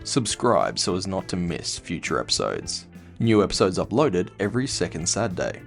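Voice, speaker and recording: A man's voice: low voice, very animated, normal speed, vocal fry, soft background music. A man giving a scripted first-person narration of an audio fiction story as its author. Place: dry, close recording space.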